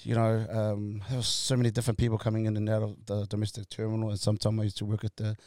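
Halting man, dark mellow voice. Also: lowish voice, monotone, no echo, clean studio sound. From Tonga. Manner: halting, many fillers and restarts, laughs easily. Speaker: guest, company co-founder. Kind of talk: casual interview chat.

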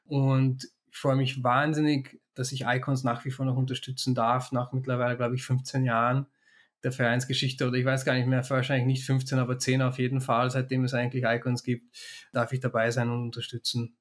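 A man's voice: mid-range voice, completely flat delivery, a little fast. A middle-aged man born in Hungary, a consultant and startup founder answering interview questions in a thoughtful, explanatory way, with frequent restarts and fillers.

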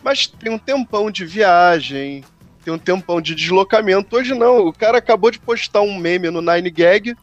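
A man with a high-pitched voice and a Brazilian Portuguese accent talks through his ideas on a podcast. He is reflective and chatty, and builds his points slowly with examples, over faint music. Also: animated, muffled recording. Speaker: podcast co-host. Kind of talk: casual podcast discussion.

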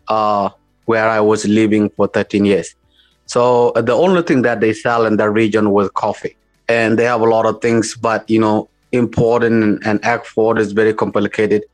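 A man with a South Sudanese accent answering interview questions as a company founder, in informal, halting, repetitive phrasing. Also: fairly low pitch. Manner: informal, repetitive, halting phrasing with non-standard English grammar.

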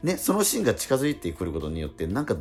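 A man co-hosting a radio show in casual chat, telling stories excitably with repeated emphatic words. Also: fairly low pitch, rapid-fire, soft background music. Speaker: radio show co-host and stage actor. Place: recorded radio programme.

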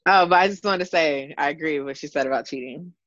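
A woman's voice: deep voice, quick pace, fairly bright tone, no room sound. A woman co-hosting a podcast from her phone over a video call, laid-back and agreeable in casual chat. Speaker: podcast co-host. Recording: dull dry video-call audio.

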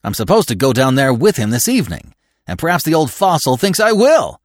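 Blustering, affronted gentleman played by a male narrator, comic audiobook, sarcastic and snappy, swooping upward in pitch, dry sound. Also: sing-song, a little fast, dry recording. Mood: scornful. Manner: snapping, indignant ranting.

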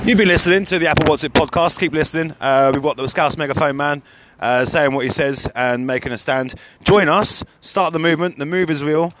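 A man's voice: a little high, brisk, noisy background. A man hosting a podcast, giving casual, rambling live commentary that often repeats phrases. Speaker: podcast host.

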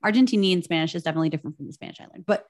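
A woman's voice: on the low side, racing. Chatty woman co-hosting a podcast. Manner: chatty, animated, many "like" fillers, laughs often. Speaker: podcast co-host. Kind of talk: casual podcast chat.

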